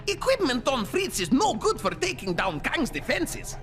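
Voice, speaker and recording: A woman's voice: high-pitched, wildly swooping pitch, normal speed. A woman playing an earnest, cheerful space dog in a video game, with a Russian accent and broken English. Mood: upbeat, earnest. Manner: broken English, formal and upbeat. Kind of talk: video game cutscene acting. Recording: music behind the voice.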